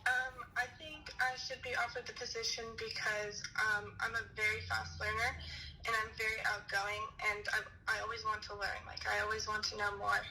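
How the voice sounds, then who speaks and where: Young female applicant, native German speaker, bright flat voice, hesitant, distant phone sound. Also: fairly high pitch, moderate pace, gravelly, slight background noise. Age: young adult. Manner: soft, hesitant, with many "um" and "like". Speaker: job applicant on a phone call.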